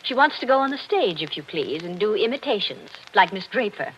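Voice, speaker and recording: An actress playing a hurt, bitter wealthy wife in a 1940s radio drama, speaking in a genteel, wistful way. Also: female voice, medium pitch, big pitch swings, a little fast, a bit bright. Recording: muffled old radio broadcast with hiss.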